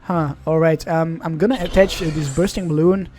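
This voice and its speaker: A man's voice: a little high, fairly even intonation, normal speed, a little mellow, slight background noise. A young man whose first language is Dutch, casually commenting on an online card game in a chatty, rambling way, often correcting himself. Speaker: gaming channel host.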